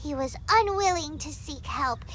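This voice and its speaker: A woman voicing a tiny, excitable female fairy companion in a game cutscene, telling a story in a playful, animated way. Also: measured pace, heavy background noise. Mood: storytelling. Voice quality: high squeaky childlike voice. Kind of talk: video game cutscene dialogue. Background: loud game music.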